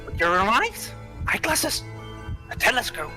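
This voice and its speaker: A man's voice: very high-pitched, huge pitch swings, a little slow, sharp tone, soft background music, pitched above the performer's own voice, exaggerated voice. A man voicing a menacing, ice-cold female spirit in a horror game, taunting and questioning insistently. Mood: probing, menacing. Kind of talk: horror game character read-aloud.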